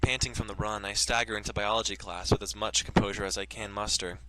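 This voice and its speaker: A man's voice: fairly low pitch, fairly even intonation, fast talker, pushed and bright, slight background noise, low-quality recording. A man hosting a let's play, reading game text aloud and cracking crude jokes between lines. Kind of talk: casual gameplay commentary and reading aloud.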